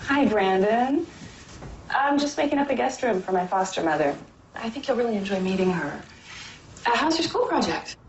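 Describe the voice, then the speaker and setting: A caring, knowing stepmother, lively pitch. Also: moderate pace, highs cut off, slight background noise. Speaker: actress in a TV film. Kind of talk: naturalistic film dialogue. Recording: music behind dialogue.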